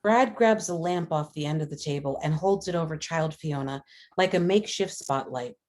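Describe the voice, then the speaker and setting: Low-voiced woman reading screenplay narration. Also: moderate pace, a little mellow. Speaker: stage-directions reader.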